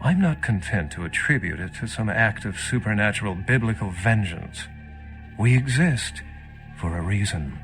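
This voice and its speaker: A man's voice: lowish voice, lively intonation, moderate pace, a bit bright, soft background music. A man playing an erudite, centuries-old vampire scholar in a video game, lofty, articulate and theatrical. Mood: earnest, lofty. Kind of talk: video game character acting.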